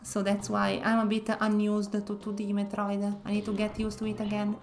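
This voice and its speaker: A woman from northern Italy with an Italian accent, speaking English as a second language, chatting casually while streaming a game and often pausing to search for words. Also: mid-range voice, monotone. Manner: soft-spoken, searching for words with many pauses. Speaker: variety streamer. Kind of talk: casual gameplay commentary.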